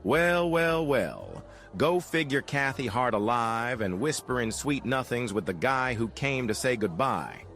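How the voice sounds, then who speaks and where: An actor playing a tough, cynical 1940s private eye in a noir radio drama, clipped, sardonic and confrontational. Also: male voice, mid-range voice, sing-song, measured pace, fairly bright tone, soft background music. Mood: accusing, cynical.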